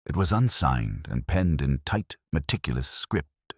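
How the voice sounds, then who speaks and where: A man reading a detective story as its narrator, in a measured, formal, literary manner. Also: extremely low pitch, sing-song, a little hiss or hum. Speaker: audiobook narrator. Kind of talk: scripted first-person detective story narration.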